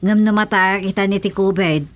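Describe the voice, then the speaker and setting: A woman's voice: medium pitch, fairly even intonation, brisk, natural voice. An actress playing a frank, insistent village woman with an Ilocano accent in a comedy radio drama.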